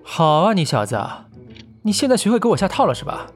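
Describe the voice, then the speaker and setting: A man acting a nagging, animated talent manager. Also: sits high, fast talker, faint music behind. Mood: teasing, firm. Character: exasperated, protective male talent manager of an actor. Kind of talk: audio drama acting with background music.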